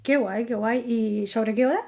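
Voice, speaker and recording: A woman's voice: a little high, steady tone, normal speed, gravelly, a little mellow. A woman hosting a casual culture podcast, cheerful and friendly, laughing often. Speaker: podcast host. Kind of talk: casual chat.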